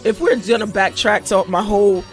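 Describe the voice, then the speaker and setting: A woman's voice: mid-range voice, a little fast, faint music behind. A woman guest who owns a record label, talking casually and confidently about her work. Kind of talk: casual interview chat.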